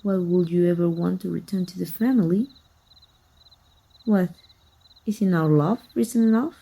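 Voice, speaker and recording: A woman performs a sadistic, scheming vampire hunter in an audio roleplay, with a gravelly creak in her dark, mellow voice. She is a manipulative sister who taunts in a deliberate, mock-sweet way. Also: on the low side. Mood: coaxing. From Mexico. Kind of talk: ASMR roleplay acting.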